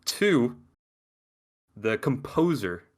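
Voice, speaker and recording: A man's voice: mid-range voice, big pitch swings, extremely slow. A man co-hosting a gaming podcast, talking in a casual, rambling way with many restarts. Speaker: podcast co-host.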